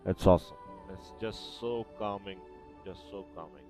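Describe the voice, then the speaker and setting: A man's voice: deep voice, moderate pace, a little mellow. A man giving casual gameplay commentary, often repeating short phrases, with game music behind him. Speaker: gaming video host.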